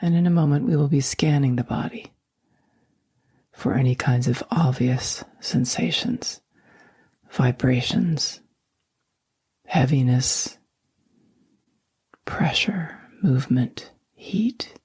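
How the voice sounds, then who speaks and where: A woman guiding a sleep meditation, speaking slowly and softly, often in a near whisper. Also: extremely low pitch, husky, dry recording. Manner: slow, soft and soothing, often whispered. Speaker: meditation guide.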